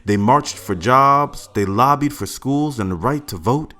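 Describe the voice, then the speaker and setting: A man reading a father's part in a family picture-book read-aloud, telling his little daughter their family's history in a slow, expressive way. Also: medium pitch. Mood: storytelling. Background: faint added music.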